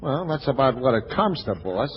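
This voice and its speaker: A man's voice: mid-range voice, sing-song, normal speed, slight background noise, natural voice. An American actor playing a dim gangster henchman turned servant in 1940s radio comedy, hesitant, slangy and understated. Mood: sheepish, hesitant. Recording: dull 1940s radio recording.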